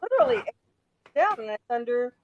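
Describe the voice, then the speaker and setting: A woman with a raspy voice, talking emphatically as an interview guest, rambling and restarting her sentences. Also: on the high side, sing-song, normal speed, lo-fi sound, a crowd in the background. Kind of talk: casual interview talk.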